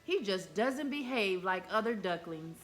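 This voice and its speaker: A woman voicing a gentle, caring mother duck who speaks softly and soothingly in a storybook read-aloud. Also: medium pitch, animated, measured pace, faint music behind, close to an everyday voice. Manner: soft and soothing.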